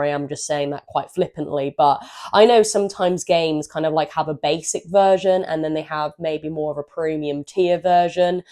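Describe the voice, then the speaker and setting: A woman hosting a gaming channel, hoarse from a cold, chatting in an upbeat, rambling way and stumbling over her words now and then. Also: deep voice, darkish tone. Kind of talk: casual chatty walkthrough of a game manual.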